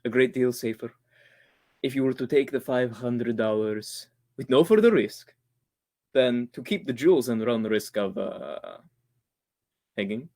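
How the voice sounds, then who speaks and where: A man's voice: mid-range voice, animated, a little mellow. A man playing a formally spoken, menacing doctor in a 1940s-style radio thriller. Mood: polite menace. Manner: formal, deliberate phrasing. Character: menacing polite doctor villain, really a panicking radio actor.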